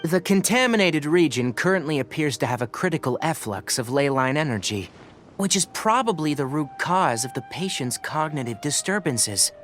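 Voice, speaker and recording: An actor playing a calm, scholarly young male researcher in a video game, articulate and measured as he explains. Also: on the high side, faint music behind. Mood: calm, analytical.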